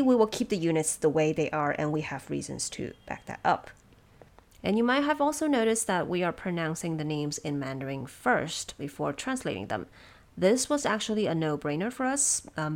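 A woman hosting production notes, explaining things in a measured, semi-scripted and lightly wry way. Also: sits low. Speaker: host of production notes for an audio drama.

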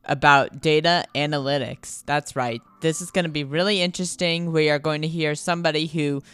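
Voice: mid-range voice, fairly even intonation, fairly quick, a bit bright, clear, resonant tone, no echo. A podcast host presenting a guest and asking interview questions. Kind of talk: scripted introduction, then interview questions.

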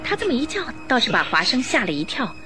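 A woman narrating a radio drama in an expressive, measured, scripted storytelling style. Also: on the high side. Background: music under the voice.

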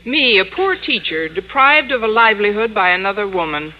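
A woman playing a wry, sharp-tongued schoolteacher in 1950s radio comedy, with a dry, deadpan delivery. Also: mid-range voice, fairly quick, lightly acted. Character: wry sharp-tongued schoolteacher, also posing as a rude French madame. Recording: dull noisy old radio recording.

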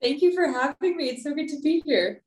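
A woman's voice: sits high, lively intonation, brisk, a little mellow. Chatty young woman, echoey room. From United States and Pakistan. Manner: informal, chatty, frequent "like". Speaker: podcast guest, nonprofit founder. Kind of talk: casual conversational interview answers. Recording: roomy, echoey sound.

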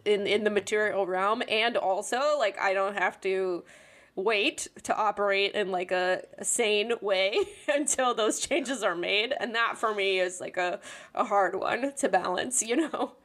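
Woman, medium pitch, casual and candid conversational talk, reflective and informal. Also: a little slow, a bit bright. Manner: candid, informal, frequent "like" and "you know".

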